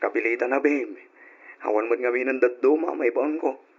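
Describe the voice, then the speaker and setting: A man's voice: mid-range voice, normal speed, breathy edge. A man playing an easygoing young fiancé and son in an Ilocano radio drama, with an Ilocano accent and an affectionate, reassuring manner. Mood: casual, placating. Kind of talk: old-style Ilocano radio drama acting. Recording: muffled radio drama recording.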